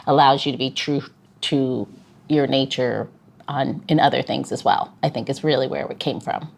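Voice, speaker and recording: Low-voiced woman podcast co-host. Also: steady tone, moderate pace, vocal fry. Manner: rambling, frequent restarts and fillers. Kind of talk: casual chat.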